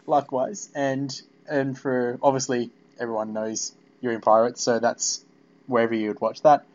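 Male Australian podcast host, mid-pitched, conversational interviewer delivery, slightly dull recording. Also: little pitch movement, normal speed, darkish tone. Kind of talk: casual interview chat.

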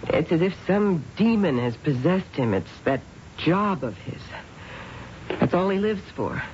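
An actress playing a neglected, quietly bitter wife in an old-time radio drama, coy and insinuating with trailing pauses. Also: female voice, lowish voice, animated, highs cut off. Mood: bitter, confiding. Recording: faintly noisy old radio recording.

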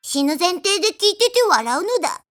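A woman's voice: extremely high pitch, very animated, brisk, a bit bright, faint music behind, exaggerated voice. A woman playing a small, childlike messenger god, cheeky, smug and unapologetic, with cutesy phrasing in a comedic audio drama.

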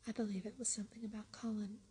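A woman whispering the lines of a kind, calm Yorkshire farm boy in a storybook reading, keeping a soft Yorkshire dialect. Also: mid-range voice, quick pace, breathy edge. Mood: gentle. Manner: whispered, gentle.

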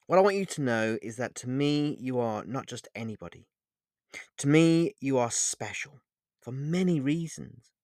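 Man voicing an intense, halting male romantic lover. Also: mid-range voice, no echo, studio quality. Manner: halting, repeats phrases, formal wording. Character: handsome, charming, wounded, intense male lover. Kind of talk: dramatised romance audiobook dialogue.

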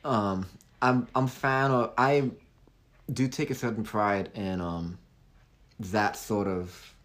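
A young man from Hong Kong with a light British-tinged American accent, a singer-songwriter guest talking haltingly in a casual interview. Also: fairly low pitch, fairly even intonation, a bit bright. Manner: halting, many restarts and fillers.

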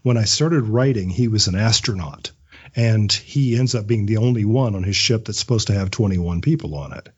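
A man's voice: fairly low pitch, normal speed, a little mellow, breathy edge, lo-fi sound. A man speaking as a writer and voice actor being interviewed, thoughtful and conversational, acting out imagined lines as he explains. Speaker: interview guest, audio drama writer and voice actor.

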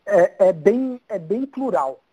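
A man's voice: sits high, animated, takes it slowly, dark tone, breathy edge, poor audio. A man in his thirties with a São Paulo Brazilian accent, chatting informally as an interview guest. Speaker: interview guest, social media city guide creator. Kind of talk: casual chat.

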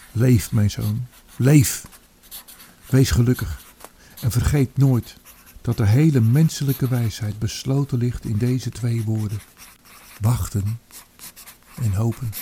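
Dark-voiced, remorseful old commander. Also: male voice, medium pitch, creaky, a little hiss or hum. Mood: solemn, regretful. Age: older. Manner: solemn, deliberate, reflective. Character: remorseful old army commander counselling his son. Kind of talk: Dutch radio drama monologue.

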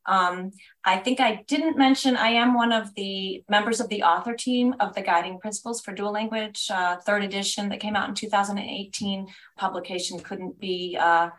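An American woman answers interview questions with clear enthusiasm, often stacking her points into lists. Her voice sits at a middle pitch, and she sounds like a guest speaking over a remote call. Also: fairly bright tone, rough recording. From United States. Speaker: guest, language education consultant.

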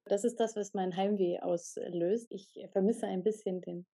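A woman's voice: medium pitch, fairly even intonation, normal speed, warm tone, breathy edge. A woman hosting a radio interview, asking curious questions in a friendly, casual way and laughing often.

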